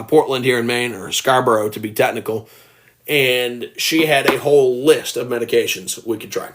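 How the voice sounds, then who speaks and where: A man in his early twenties hosting a casual livestream, talking earnestly and at length and reading viewer comments aloud, his voice turning gravelly at times. He is indoors at home, talking into a phone. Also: mid-range voice. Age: early 20s. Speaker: livestream host.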